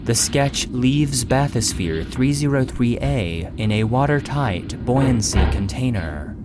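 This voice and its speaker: A man's voice: medium pitch, lively intonation, slow pace, over music. A man voicing an impersonal facility database, reading classified logs in a formal, clinical manner. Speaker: database narrator reading an incident log. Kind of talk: scripted narration.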